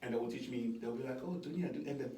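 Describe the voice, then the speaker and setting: A man's voice: mid-range voice, flat delivery, rapid-fire, mellow. A man in casual conversation, explaining his ideas in an earnest way. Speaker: interviewer and creator of a brand project. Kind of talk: casual chat. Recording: roomy, distant mic, faint background noise.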